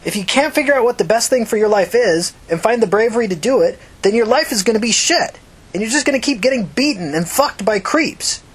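A woman's voice: on the high side, animated, fairly quick, breathy edge, voice pushed up. A woman acting a blunt, streetwise young woman. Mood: urgent, blunt. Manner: urgent, earnest, plain-spoken, profane. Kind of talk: audiobook character acting.

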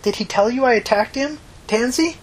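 A woman's voice: on the high side, moderate pace, breathy edge, pitched above the performer's own voice. A woman voicing a desperate, stammering teenage runaway girl in an emotional audiobook story. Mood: desperate pleading. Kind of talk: audiobook character dialogue.

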